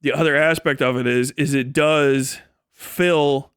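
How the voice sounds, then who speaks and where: A man's voice: mid-range voice, fairly even intonation, measured pace, fairly bright tone, clean tone, dry recording, studio quality. A man livestreaming casual, opinionated talk, blunt and profane, rambling through explanations that mix formal vocabulary with slang. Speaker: live streamer.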